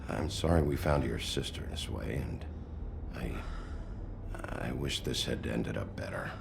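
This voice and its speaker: An actor playing a gruff, weary sheriff in a video game, terse and grave, with a growling voice. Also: male voice, extremely low pitch, takes it slowly, noisy background, exaggerated voice. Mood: somber, regretful. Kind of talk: dramatic video game acting. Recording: music underneath.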